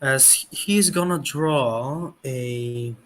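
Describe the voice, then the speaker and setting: A man narrating as game master of a tabletop game, pausing often on fillers. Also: mid-range voice, a bit bright. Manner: hesitant, full of fillers.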